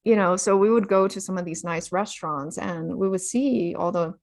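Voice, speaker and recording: A woman author, a native Chinese speaker born in China, telling her travel story in a relaxed interview, full of "you know" fillers. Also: lowish voice, moderate pace. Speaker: author guest.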